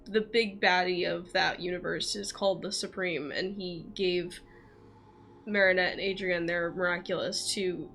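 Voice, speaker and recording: A woman's voice: on the low side, steady tone, unhurried, gravelly, a bit bright, a little hiss or hum. A woman game streamer giving casual, rambling livestream commentary in an informal way.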